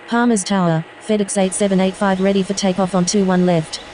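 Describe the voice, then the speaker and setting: A woman's voice: mid-range voice, steady tone, a little fast, darkish tone, poor audio. A woman speaking as an airline pilot in scripted air traffic radio phraseology. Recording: faint background noise.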